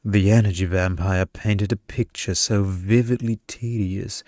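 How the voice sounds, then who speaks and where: Slow, deep-voiced man reading a bedtime story. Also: steady tone, darkish tone, no echo. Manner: slow, deliberate, seductive delivery. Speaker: narrator reading a short story.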